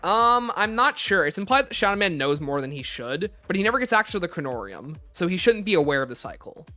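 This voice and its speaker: A man with a fairly high, very animated voice hosts a casual livestream. He reads viewer questions aloud and answers them conversationally, turning aside to chat with the audience. Light music plays behind him. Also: quick pace. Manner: conversational, breaks off to greet and thank viewers. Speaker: livestream host.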